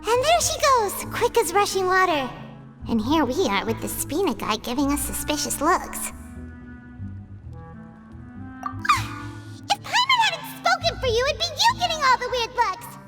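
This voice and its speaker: A woman voicing a tiny, chatty, excitable childlike fairy companion in a video game, exclaiming and referring to herself in the third person. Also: very high voice, normal speed, full character voice. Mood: teasing. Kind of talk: animated game dialogue acting. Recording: background music over studio game voice.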